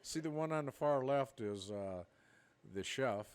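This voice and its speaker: A man's voice: mid-range voice, lively intonation, measured pace, darkish tone, smooth. A middle-aged man from Minnesota, a podcast co-host telling rambling stories with frequent laughter. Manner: rambling storyteller, laughs often. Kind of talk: casual chat.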